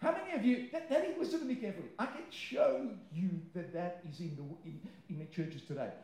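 Male preacher, English as second language, high voice with a soft-edged mellow tone; emphatic sermon delivery that stretches key words. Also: big pitch swings. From born abroad, moved to Australia aged nine. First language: not English; English is a second language. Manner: emphatic, stretching key words, then quick informal asides; rhetorical questions to the listeners. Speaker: pastor preaching. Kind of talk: sermon to a live congregation. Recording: roomy, echoing live sermon sound.

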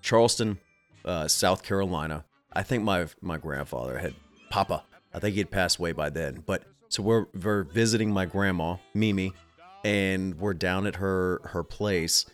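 A man's voice: low-pitched, normal speed. A man in his thirties with a stuffy, nasal voice, telling a rambling story as a show host and often stopping to restart his sentences. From US Southeast. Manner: rambling, self-interrupting, chuckling. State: stuffy nose. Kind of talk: casual storytelling. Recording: music playing behind.

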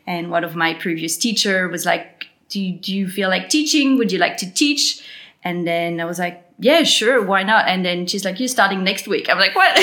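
A woman's voice: fairly low pitch, a bit bright. A woman in her thirties from New Caledonia with a French accent, chatting casually as a podcast guest and laughing often. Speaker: podcast guest, graphic designer. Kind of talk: casual interview conversation.

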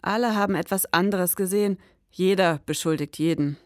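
Woman playing a brisk, mock-serious detective, dry sound. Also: lowish voice, no echo, lightly acted. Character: brisk, orderly female detective narrating a milk case. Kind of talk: scripted noir-style detective narration.

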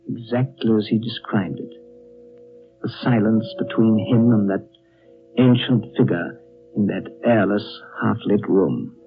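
An actor playing a reflective gentleman who recounts a strange tale in a deliberate, dramatic 1930s radio style. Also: lowish voice, gravelly. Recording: narrow-band 1930s radio recording, faint music.

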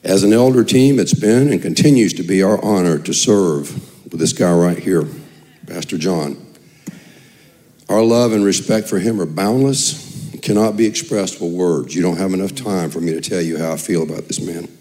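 A man with a raspy, very low voice speaks earnestly to a church congregation as its elder chairman. His tone is dark and mellow, and he talks from the heart. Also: moderate pace. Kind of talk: church address. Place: church sanctuary.

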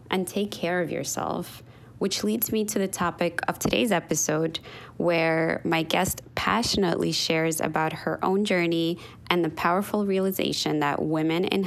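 Calm woman hosting a podcast. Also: fairly low pitch, creaky. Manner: calm, measured reading of the guest's bio. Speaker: podcast host. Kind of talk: scripted intro then casual interview.

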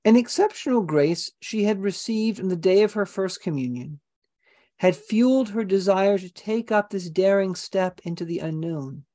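A woman narrating a documentary script in a slow, solemn reading voice. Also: mid-range voice, expressive, mellow.

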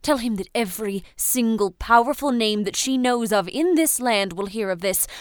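A woman's voice: fairly high pitch, lively intonation, moderate pace, clear, resonant tone, no echo, natural voice. Casting: actress as defiant 1690s woman, theatrical and formal, ornate period speech, intimate dry sound. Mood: fierce, commanding. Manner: formal, theatrical, ornate old-fashioned phrasing. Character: defiant, sharp-tongued, proud woman in 1692 Salem. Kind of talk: period audio drama acting.

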